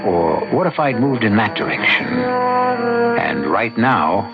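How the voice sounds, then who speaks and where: An actor hosting an old-time radio mystery drama, musing in a deliberate, theatrical manner. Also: male voice, medium pitch, huge pitch swings, heavily acted. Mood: brooding, speculative. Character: brooding male mystery-theater host musing on chess. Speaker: host. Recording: dull vintage radio, loud music behind. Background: music.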